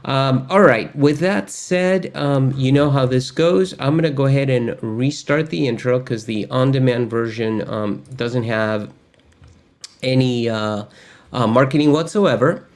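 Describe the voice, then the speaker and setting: A man's voice: medium pitch, measured pace. A man hosting a live online tech lesson, chatting casually with lots of filler words. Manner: chatty, friendly, many fillers. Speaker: course host. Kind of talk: casual livestream lesson intro.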